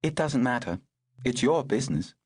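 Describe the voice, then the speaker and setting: A clipped, dryly commanding older woman of high standing, put on by a male storyteller. Her voice sits well above his own with husky breathiness and a dry, close sound. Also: animated, normal speed, a little mellow, no echo. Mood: brisk, wry. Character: sharp older aristocratic woman, brisk and wry.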